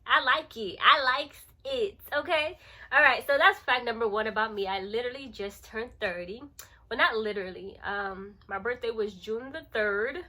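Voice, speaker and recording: Bright, high-voiced young woman vlogger. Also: normal speed. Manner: animated, playful, emphatic, repeats phrases for effect. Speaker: lifestyle vlogger and channel host. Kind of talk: casual chatty vlog to camera.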